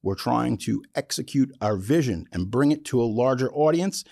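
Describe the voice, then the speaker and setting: A man's voice: mid-range voice, animated, normal speed, vocal fry, a little mellow, no echo. A man podcast host giving an opinionated, casual monologue in a blunt, emphatic, colloquial way.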